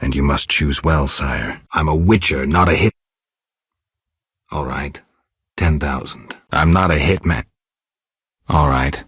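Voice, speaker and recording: An actor playing a gruff, world-weary monster hunter in a video game, terse and deadpan, with a gravelly, husky voice. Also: male voice, takes it slowly, pauses often, no room sound, studio quality, full character voice. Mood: dry, businesslike. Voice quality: very deep, gravelly, husky rasp. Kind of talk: video game dialogue acting.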